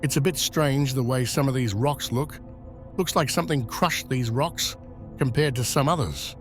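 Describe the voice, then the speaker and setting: A careful, watchful scout in a motion comic, played by a man. His voice sits at an average pitch and he talks in a wary, measured way. Faint music runs underneath. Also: natural voice. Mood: puzzled. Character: cautious, observant young male scout. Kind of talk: motion comic dramatic acting.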